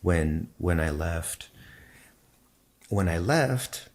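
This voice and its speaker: Deep gravelly man, Spanish native speaker. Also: animated, darkish tone. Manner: thoughtful, measured, explanatory. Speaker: podcast guest. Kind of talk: reflective personal storytelling in conversation.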